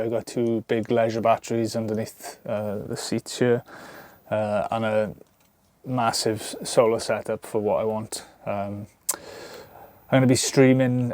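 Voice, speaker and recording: A man's voice: fairly low pitch, a little mellow, dry recording. A Welsh man in his thirties from South Wales, talking casually to camera inside his camper van, rambling with many ums and restarts and swearing freely. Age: 30s. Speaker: vlogger. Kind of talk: casual unscripted talk to camera.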